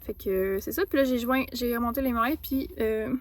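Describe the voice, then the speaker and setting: A woman's voice: on the high side, moderate pace. A young woman with a Québécois accent, chatting playfully through a casual travel vlog, with lots of fillers. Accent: Québécois French. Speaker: vlogger. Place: hotel room and shops on a trip.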